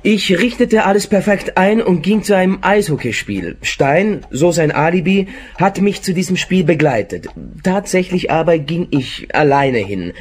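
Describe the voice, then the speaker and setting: Slightly high-voiced, discreet businessman. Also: animated, moderate pace, few pauses, husky. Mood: matter-of-fact. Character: discreet, businesslike male client running shady agency. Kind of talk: radio play dialogue.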